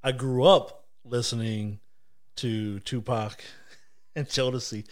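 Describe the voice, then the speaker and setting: A man's voice: medium pitch, fairly even intonation, slow. A man about to turn forty, a guest on a casual podcast who talks in a laid-back way and tells stories with a laugh. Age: 30s. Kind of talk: casual chat.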